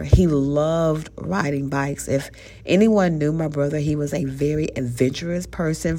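A woman's voice: low voice, dark tone. A woman in her thirties hosting a faith podcast, speaking earnestly and conversationally with a stuffy nose. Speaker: podcast host.

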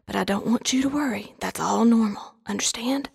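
A man voicing a gentle, firm, protective middle-aged Southern aunt in a told story, with a breathy, hushed delivery. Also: high-pitched, fairly quick, pitched above the performer's own voice, full character voice. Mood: calm, instructing. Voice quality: breathy, hushed, often near a whisper.

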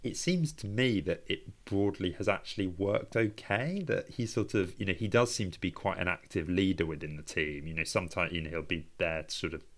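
A man with a low-pitched voice co-hosts a sports podcast. He tells his material in a conversational way, working from notes while chatting with a colleague. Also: normal speed, few pauses, a bit bright. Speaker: podcast co-host. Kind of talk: conversational cricket storytelling from notes.

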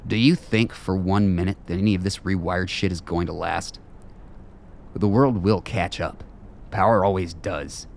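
A man's voice: deep voice, steady tone, moderate pace, darkish tone, slight background noise. A man acting a bitter, weary middle-aged soldier in a radio play, blunt and profane.

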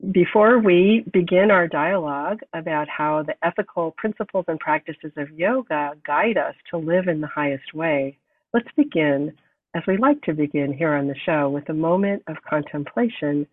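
A woman's voice: low voice, no room sound. Nasal woman host with a cold. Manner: calm, unhurried guidance. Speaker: podcast host. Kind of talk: podcast interview and guided meditation. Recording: thin phone-like sound, distant from mic.

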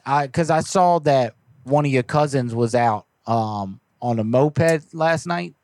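A man making a comedic prank phone call, joking along in a deadpan, agreeable way. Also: medium pitch, measured pace, no echo. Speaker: prank caller.

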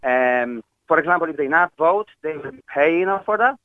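A man's voice: fairly high pitch, animated, a little fast, no room sound. Polish man calling in on a phone line. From Poland. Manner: hesitant, broken English with many fillers. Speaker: caller. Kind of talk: phone-in call to a radio show.

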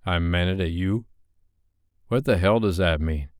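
A man's voice: low voice, measured pace, no room sound, clean studio sound. A man voicing a stern, dominant boyfriend in an audiobook, speaking in a firm, clipped way.

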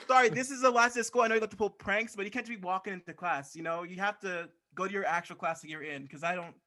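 A man's voice: high-pitched, quick pace, bright, forceful tone. A man voicing a flustered, apologetic schoolteacher in an improvised comedy sketch, speaking hesitantly. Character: flustered, high-voiced schoolteacher scolding a latecomer.

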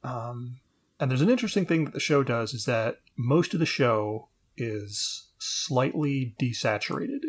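A man's voice: mid-range voice, animated, measured pace, breathy edge. A man co-hosting a casual review podcast, speaking in an understated, tentative way. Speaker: podcast co-host. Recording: faint background noise.

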